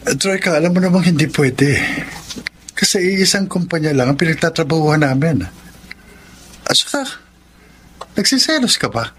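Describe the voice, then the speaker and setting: A man's voice: on the high side, expressive, normal speed, pauses often, a bit bright, slight background noise. A man playing a slightly effeminate, earnest boyfriend who is defensive and easily wounded, in a radio romance drama. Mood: concerned. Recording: music bed under the voice.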